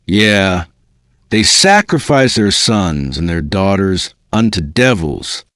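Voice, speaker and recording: A man with a fairly low voice narrates a scripted book in a dramatic style. His delivery is deliberate and made for storytelling, with lively, wide-moving intonation. The sound is dry, with no room echo. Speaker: voice-over narrator reading a book.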